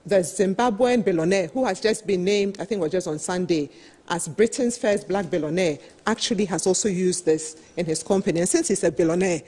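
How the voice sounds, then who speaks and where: Slightly husky woman presenting. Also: lowish voice, fairly quick, dark tone. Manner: explanatory, emphatic, frequent "you know". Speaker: panel speaker. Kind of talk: business presentation at a televised roundtable. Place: television studio, seated at a desk.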